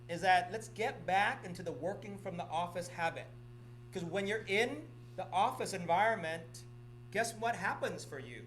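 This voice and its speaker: A man's voice: high-pitched, fairly bright tone, slight background noise, rough recording. A middle-aged man from Vietnam gives announcements at an office meeting, repeating himself and often checking in with "okay?". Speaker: real estate office manager or broker.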